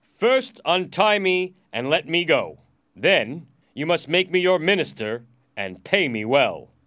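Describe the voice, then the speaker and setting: A man voicing a desperate but bold condemned man in a storybook, loudly and dramatically. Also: high-pitched, big pitch swings, takes it slowly, voice pushed up, exaggerated voice. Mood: commanding bluff. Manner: loud, dramatic, exclaiming. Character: desperate, quick-thinking man facing execution, bluffing boldly.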